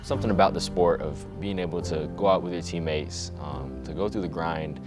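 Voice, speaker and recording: A teenage boy from Hawaii, a high school quarterback, talking reflectively in a documentary interview with many fillers. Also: brisk, music in the background. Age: teens.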